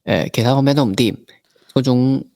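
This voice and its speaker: A man with a Hong Kong Cantonese accent and a medium-pitched voice hosts a podcast interview. He puts questions conversationally, in a very dry recording. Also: brisk, creaky, dark tone, no echo.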